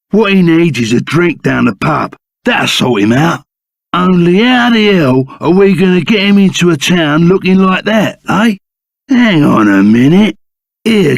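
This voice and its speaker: A gruff Cockney ex-bandit sidekick from a video game. The voice is gravelly and pitched on the high side, recorded close and dry. He blusters along in matey street slang, rough but loyal. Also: dry recording. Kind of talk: video game character acting.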